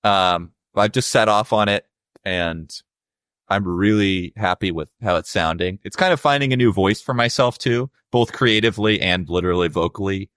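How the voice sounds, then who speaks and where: A young adult man with a low voice, a musician guest talking casually and reflectively in an interview, with many fillers. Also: moderate pace, a bit bright, smooth, no room sound, clean studio sound.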